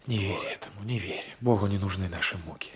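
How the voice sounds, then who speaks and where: An actor playing a passionate, vengeful gendarme and jilted lover in a radio drama, intense and insistent, often dropping to a whisper. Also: male voice, sits low, brisk, a little hiss or hum, natural voice. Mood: fervent, coaxing. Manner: intense, insistent, repeating phrases. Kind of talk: 1980s radio drama acting.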